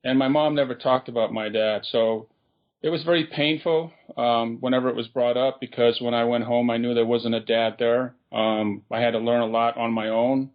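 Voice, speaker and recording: Halting male guest, dull lo-fi sound. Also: medium pitch, little pitch movement. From Brazil. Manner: halting, with frequent ums and uhs. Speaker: podcast guest. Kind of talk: casual interview storytelling.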